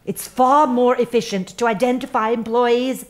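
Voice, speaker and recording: An actress playing a smug, self-righteous human resources head in a satirical audio comedy, speaking earnest, patronizing corporate jargon. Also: female voice, on the high side, fairly even intonation, moderate pace, natural voice. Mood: smoothly patronizing.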